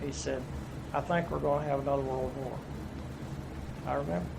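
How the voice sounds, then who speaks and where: A man's voice: mid-range voice, fairly even intonation, brisk, gravelly. A man in his fifties with an East Tennessee accent, telling his life story plainly in an oral history interview. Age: 50s. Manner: plainspoken, folksy storytelling with restarts. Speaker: interviewee, veteran. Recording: noisy room recording.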